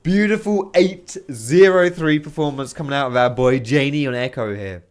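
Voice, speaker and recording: A man's voice, a little hoarse and worn from talking, narrates game footage in a quick, excitable play-by-play. He sits at a home desk mic, reacting to each big moment with loose, slangy enthusiasm. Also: mid-range voice. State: hoarse, losing his voice. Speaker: video host. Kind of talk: gameplay commentary.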